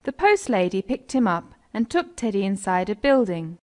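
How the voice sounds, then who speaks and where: A woman's voice: medium pitch, sing-song, normal speed, darkish tone. A woman with an American accent narrating a scripted children's story.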